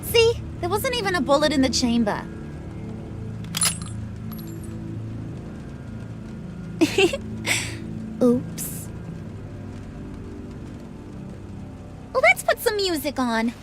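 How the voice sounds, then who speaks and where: A flirty, unhinged villainess from a video game, high in pitch and swinging widely as she teases. She takes her time with slangy, sing-song menace, with light music behind her. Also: sing-song, takes it slowly, full character voice. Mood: playful menace. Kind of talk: animated video game acting.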